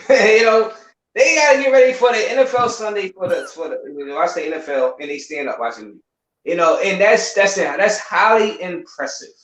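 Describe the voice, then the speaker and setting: A man's voice: high voice, expressive, a little fast, fairly bright tone, echoey room, rough recording. A man on a live stream, chatting casually, laughing often and repeating himself. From United States. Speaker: YouTuber guest on a live stream.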